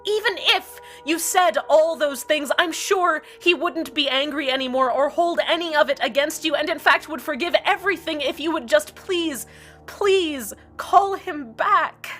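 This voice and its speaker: A woman voicing a heartbroken community radio host who speaks in an earnest, halting way with many pauses. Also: medium pitch, a bit bright, soft background music. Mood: exasperated. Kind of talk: fan radio drama monologue.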